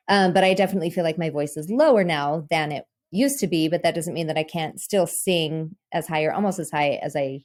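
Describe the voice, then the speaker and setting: Laughing, lower-voiced woman podcast host. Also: darkish tone. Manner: laughs easily, thinks aloud with many fillers. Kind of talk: casual podcast conversation.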